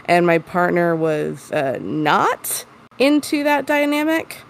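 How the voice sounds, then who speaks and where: Deep gravelly woman with a lisp. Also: very animated, slow, slight background noise. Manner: rambling, lots of "like" and restarts. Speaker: interview guest. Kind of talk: candid casual chat.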